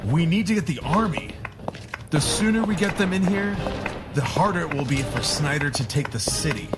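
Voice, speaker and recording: Man playing a steady, wry war veteran. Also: a little high, moderate pace, over loud music, lightly acted. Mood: determined. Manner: wry, matter-of-fact. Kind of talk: modern audio drama acting.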